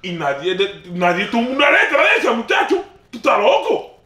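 A Dominican man with a very high, bright voice argues in a casual group talk. He is emphatic and slangy, hammering his point by repeating it. The sound is roomy and echoing. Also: huge pitch swings, moderate pace. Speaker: talk show participant. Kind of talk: animated casual group debate. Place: small dim lounge indoors. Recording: roomy echo, far from mic.

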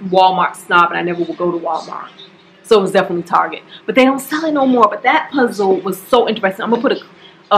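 A woman in her forties, talking casually to camera at home about her monthly budget, chatty and expressive, calling her viewers y'all. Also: mid-range voice, a little hiss or hum, rough recording. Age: 40s. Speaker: budgeting vlogger. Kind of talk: casual direct-to-camera talk. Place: home staircase, indoors. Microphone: camera at close range.

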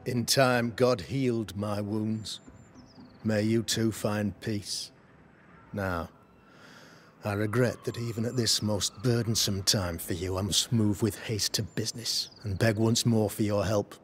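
An actor playing a pompous, pious, middle-aged preacher in a video game, with florid, oratorical delivery. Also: male voice, on the low side, fairly even intonation, measured pace, a little hiss or hum, close to an everyday voice. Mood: solemn, condoling. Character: pompous, pious preacher, learned man of the cloth. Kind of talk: theatrical video game acting, sermonizing.

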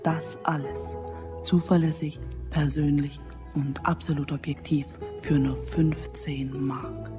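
A formal, measured female announcer reads promotional messages in a radio play. Her voice sits very low for a woman. Plucked guitar music plays clearly behind her. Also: little pitch movement. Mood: smooth, promotional. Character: smooth female shopping centre announcer.

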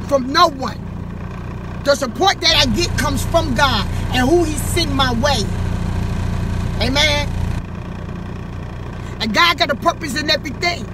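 A woman's voice: sits high, background noise. A middle-aged woman pastor talking in an impassioned religious vlog, emphatic and repeating her phrases in a preacherly way, recorded on a phone inside a car. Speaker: pastor and ministry leader. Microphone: phone at arm's length.

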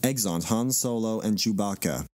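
A man's voice: fairly low pitch, moderate pace, a little mellow, no echo, clean studio sound. A man narrating stage directions in a plain scripted reading.

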